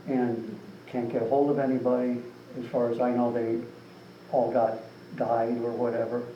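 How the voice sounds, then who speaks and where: A man's voice: mid-range voice, flat delivery, normal speed, muffled recording. A man speaking casually as an author at a meeting, rambling with lots of "you know". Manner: rambling, filler-heavy, with frequent "you know". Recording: distant mic in an echoey room with some noise.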